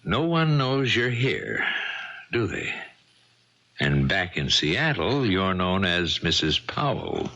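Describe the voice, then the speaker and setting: An actor in a 1970s radio drama playing a scheming bigamist husband, hard, needling and insincere. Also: male voice, sits low, huge pitch swings, slow pace, vocal fry, pushed and bright, heavily acted. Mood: menacing. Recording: muffled vintage radio broadcast.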